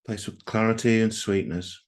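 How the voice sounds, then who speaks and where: A man leading a guided meditation as a group guide, speaking gently and unhurriedly with long pauses. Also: fairly low pitch, fairly even intonation.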